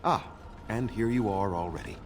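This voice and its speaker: Man playing a low-voiced, proud ancient elf leader. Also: music in the background, close to an everyday voice. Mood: dry, guarded. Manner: measured, formal, condescending. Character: ancient bitter male elf clan leader, proud, unforgiving. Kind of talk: dramatic fantasy game acting.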